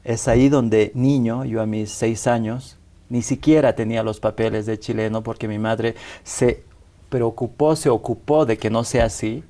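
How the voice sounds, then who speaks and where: Bolivian man, 40s, TV interview guest; mellow, dark timbre, measured and reflective delivery. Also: mid-range voice, steady tone. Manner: measured, frequent tag questions and restarts. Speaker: interview guest, rights activist. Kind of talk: reflective personal storytelling. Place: television studio.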